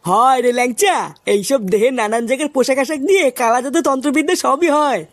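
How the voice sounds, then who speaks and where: A woman's voice: high-pitched, fast, no echo, lightly acted. A woman voicing a superstitious, gossiping village man in a comic cartoon, explaining things with cautionary airs. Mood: ominous.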